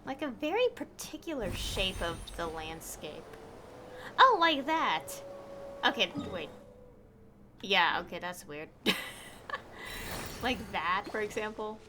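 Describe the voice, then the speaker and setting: A woman streamer chatting casually through a game, easily delighted and quick to laugh. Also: medium pitch, very animated, noisy background. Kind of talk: casual gameplay commentary.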